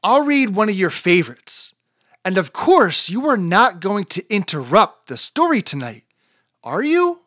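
A woman voicing a patient, tired father rooster in a storybook, measured and gently chiding. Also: medium pitch, very animated, dry recording, studio quality. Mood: gentle.

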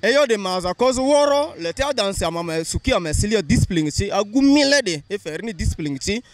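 A man's voice: high voice, no room sound. A young man answering a street interviewer outdoors into a handheld mic, explaining his views earnestly, mostly in a local language. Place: busy town street outdoors.

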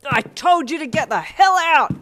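A woman's voice: high-pitched, expressive, normal speed, a bit bright, voice pushed up. A woman voicing a belligerent, drunken middle-aged father who hollers furiously in an audio story.

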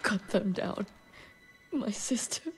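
A woman's voice: mid-range voice, lively intonation. An actress playing a solemn, grieving older noblewoman warrior bent on revenge in a video game, speaking tersely. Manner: terse, solemn, measured delivery. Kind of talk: dramatic video game acting. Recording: game dialogue over background music.

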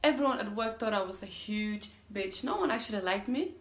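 A woman's voice: mid-range voice, lively intonation, brisk, lightly acted. A woman performing a theatrical monologue as a self-declared mean young woman resisting a marriage proposal, dramatic and emphatic. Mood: panicked pleading. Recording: echoey room.

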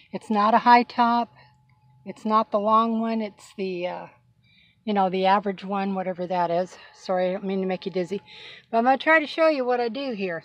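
A woman's voice: medium pitch, no echo, lo-fi sound. Chatty older woman in a van. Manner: chatty, folksy, apologetic asides. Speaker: van-dwelling vlogger. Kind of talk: casual how-to demonstration. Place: inside a cargo van. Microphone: handheld phone camera.

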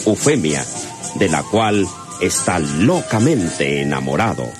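Animated low-voiced male radio narrator over music. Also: takes it slowly. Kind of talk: dramatic scripted radio serial narration.

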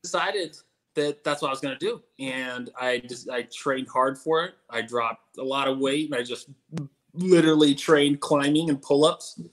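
A young man in a casual interview over a video call from home, telling his story in a relaxed way with many fillers. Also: mid-range voice, moderate pace, poor audio. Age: young adult. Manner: laid-back, many "uh"s. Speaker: guest stuntman. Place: room at home.

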